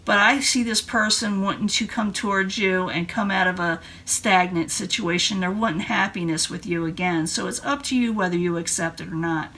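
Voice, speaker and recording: A woman tarot reader giving an informal, halting reading straight to the listener, her voice starting to go hoarse. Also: mid-range voice, bright, forceful tone. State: voice starting to go hoarse, throat clearing.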